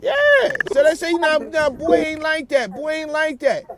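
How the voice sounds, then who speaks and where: A man's voice: extremely high pitch, normal speed, a loud crowd. A man in a casual, earnest phone conversation, using slang and restating his points. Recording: noisy phone call, background ambience.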